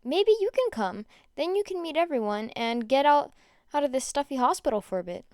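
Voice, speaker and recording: A woman voicing a kind teenage boy in an audio story, speaking gently and reassuringly as if to a child. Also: high-pitched, animated, fairly quick, no echo, studio quality. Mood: tender.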